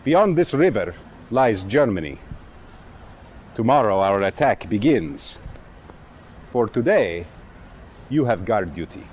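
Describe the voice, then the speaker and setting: An actor playing a brisk Soviet field officer, giving a formal, emphatic military briefing in a video game. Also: male voice, fairly low pitch, sing-song, a little mellow, slight background noise. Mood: brisk, resolute.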